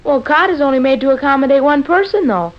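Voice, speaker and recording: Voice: sits high, expressive, quick pace. A performer with an American accent playing an earnest, matter-of-fact boy in a 1940s radio comedy. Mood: earnest objecting. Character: earnest, literal-minded American boy, needling his father. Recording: muffled old radio recording.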